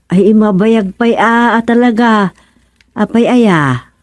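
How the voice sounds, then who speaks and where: An actress with an Ilocano Filipino accent playing a blunt, needling village wife who asks pointed questions in a radio comedy drama. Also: female voice, medium pitch, slow, no echo, natural voice. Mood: questioning.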